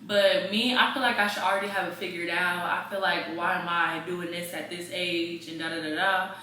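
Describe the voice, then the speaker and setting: A woman's voice: fairly low pitch, fairly even intonation, moderate pace, pushed and bright. A twenty-year-old woman chatting casually and candidly, repeating phrases for emphasis. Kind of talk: casual chat. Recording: roomy echo, distant mic, faint noise.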